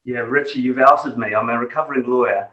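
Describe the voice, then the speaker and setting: A man's voice: mid-range voice, fairly quick, pushed and bright, muffled recording. A middle-aged man with a New Zealand accent, reflecting as an interview guest, with many fillers and restarts. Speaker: guest, tech executive. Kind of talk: conversational interview. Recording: echoey room, distant from mic.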